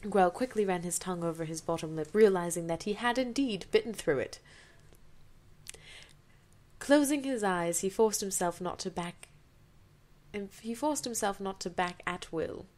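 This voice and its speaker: A woman's voice: mid-range voice, moderate pace, long pauses, a little mellow. A woman doing an amateur dramatic reading of fanfiction, theatrical, with incredulous, sarcastic asides.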